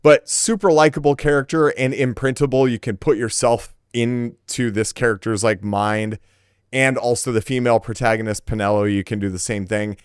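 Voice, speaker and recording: A man's voice: medium pitch, steady tone, moderate pace, smooth, no room sound, studio quality. A man co-hosting a gaming podcast, talking casually and enthusiastically with an informal turn of phrase.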